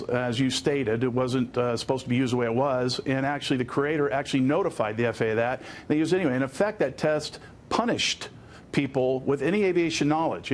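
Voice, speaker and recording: A man in his fifties with an American accent, explaining as a guest in a live news interview, with frequent pauses. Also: mid-range voice, slight background noise. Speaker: interview guest, aviation attorney.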